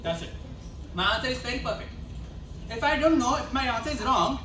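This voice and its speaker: A woman's voice: fairly high pitch, expressive, a little fast, bright, forceful tone. Woman playing cheeky ex-pupil, distant and echoey. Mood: defiantly smug. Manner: brash, mocking, argumentative. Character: cheeky, insolent former pupil demanding a refund. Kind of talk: amateur comic stage play. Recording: noisy echoey stage, far from mic.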